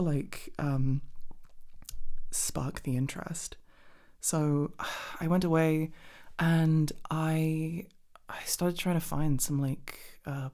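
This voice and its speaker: Low-voiced young woman rambling softly. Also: creaky. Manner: hesitant, rambling, self-interrupting, casually sweary. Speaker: ASMR roleplay performer playing a girlfriend. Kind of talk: casual rambling ASMR chat to a partner.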